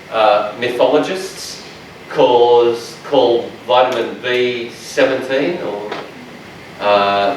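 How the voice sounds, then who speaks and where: Passionate loud-voiced man lecturing. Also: medium pitch, a little hiss or hum. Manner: loud strong voice, passionate and jokey. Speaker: dietitian presenter. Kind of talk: lecture to health workers. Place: large echoey training room. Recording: echoey room, speaker far from mic.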